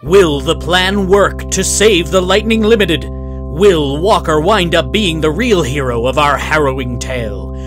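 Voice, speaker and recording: A man's voice: a little high, measured pace, over music, close to an everyday voice. A man playing the announcer of an old-time radio serial, narrating in a grandiose, suspenseful way. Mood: thrilling.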